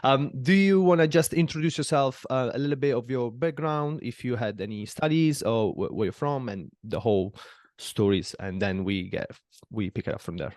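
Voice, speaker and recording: A man's voice: medium pitch, moderate pace, a little mellow, dry recording, studio quality. A man from southern Italy, hosting a casual podcast interview and talking with many fillers and restarts. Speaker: podcast host.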